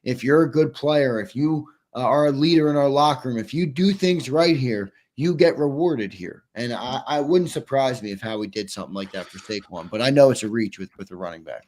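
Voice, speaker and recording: A man's voice: mid-range voice, lively intonation, a little fast, few pauses, darkish tone. A man hosting a casual sports talk show, upbeat and losing his voice. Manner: upbeat, reads viewer questions and ad reads. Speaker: host.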